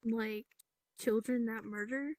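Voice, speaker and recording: A woman's voice: on the high side, fairly even intonation, slow, a little mellow, no room sound. A young woman asking questions in a casual chat. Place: online voice chat in a virtual reality game.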